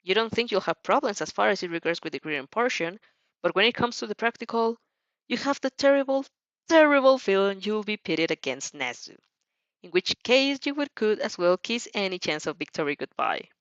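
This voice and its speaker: A woman reading fan fiction aloud as an expressive, theatrical storyteller who now and then stumbles over words. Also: medium pitch, brisk, dry recording, dull audio. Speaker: fan fiction reader and host. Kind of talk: expressive storybook narration with character voices.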